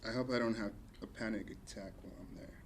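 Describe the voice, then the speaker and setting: Low-voiced, anxious young heroine, read by a man. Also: fairly even intonation, fast, slight background noise, natural voice. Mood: worried. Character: anxious, sweet young woman scientist, former superhero. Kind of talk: comedy script table read.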